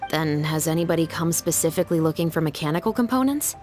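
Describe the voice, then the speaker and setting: A young female captain of the guard in a video game. Her voice sits a little low, measured and formal, businesslike in every question. Faint music plays behind. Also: animated, darkish tone. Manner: measured, formal, matter-of-fact.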